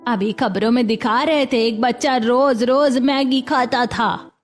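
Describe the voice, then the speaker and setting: A woman's voice: on the high side, measured pace, faint music behind. A woman playing a kindly old grandmother who gives soothing, cautionary advice in a cartoon story. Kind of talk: Hindi cartoon story dialogue.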